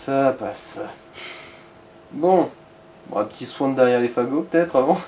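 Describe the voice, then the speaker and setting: A man's voice: mid-range voice, lively intonation, a little slow, darkish tone, slight background noise. A man making wry, slangy remarks as co-host of a casual video game playthrough. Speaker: let's play co-host. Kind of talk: casual gaming commentary with a co-player.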